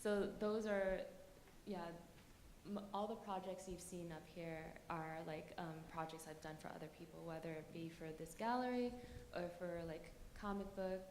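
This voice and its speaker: A woman with a low voice talks casually at a conference panel. She rambles, fills gaps with hesitations and lets out nervous little laughs. The room is echoey, with a faint hum of ambience behind her. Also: moderate pace, a little mellow. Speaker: artist panelist. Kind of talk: casual talk to a live audience. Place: conference hall.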